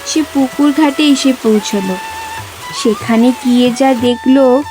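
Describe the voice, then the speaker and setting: A woman narrates a cartoon story in a high-pitched voice. It is scripted storytelling, with music clearly playing underneath. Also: unhurried. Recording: music bed behind narration.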